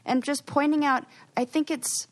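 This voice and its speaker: A young woman co-hosting a podcast, reacting with quick enthusiasm in casual chat. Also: high voice, fairly even intonation, no room sound. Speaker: podcast co-host.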